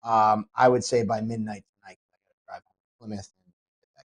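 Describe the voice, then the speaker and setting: A man, an MMA promoter, chatting casually on a podcast, sniffly from a cold and talking in an excitable, rambling way with lots of restarts. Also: on the low side, brisk. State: sniffly, getting over a cold.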